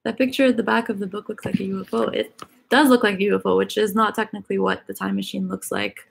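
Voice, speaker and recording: A woman's voice: mid-range voice, fairly even intonation, quick pace, gravelly, a little hiss or hum. A woman whose first language is Egyptian Arabic, chatting casually on a live stream and reading out viewers' questions. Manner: chatty, filler-heavy, reads questions aloud.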